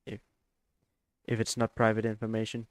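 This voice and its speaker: A man's voice: on the low side, completely flat delivery, normal speed, no echo. A man from Denmark, chatting hesitantly with many fillers while streaming a flight simulator. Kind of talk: live stream gameplay chat.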